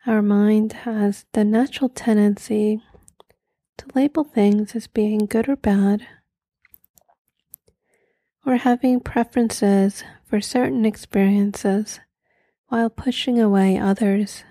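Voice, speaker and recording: Very slow, soft woman guiding meditation. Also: mid-range voice, steady tone, dry recording. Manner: gentle, soothing, unhurried with long pauses. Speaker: meditation guide. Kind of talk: guided meditation.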